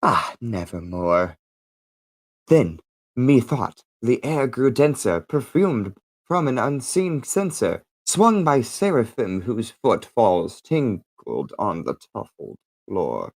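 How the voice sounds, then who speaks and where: Theatrical man with sweeping, lively pitch. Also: slow, no room sound. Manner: theatrical, with big emphatic swings. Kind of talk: dramatic poetry recitation.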